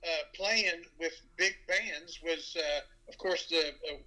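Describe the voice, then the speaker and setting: A man's voice: a little high, takes it slowly, sharp tone, rough recording. A man with a nasal voice from West Virginia, chatting as an interview guest and rambling with many fillers. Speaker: guest, tribute band frontman. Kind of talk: casual interview chat.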